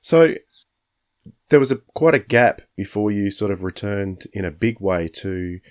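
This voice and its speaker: Lowish-voiced male interviewer. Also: lively intonation, measured pace. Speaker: interviewer, podcast host. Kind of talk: casual interview questions.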